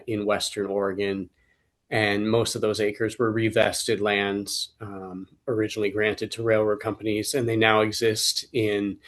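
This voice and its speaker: A man with a cold, slightly hoarse, giving a measured legal explanation as a staff attorney in an online presentation, with frequent fillers. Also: on the low side, a bit bright. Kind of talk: webinar presentation.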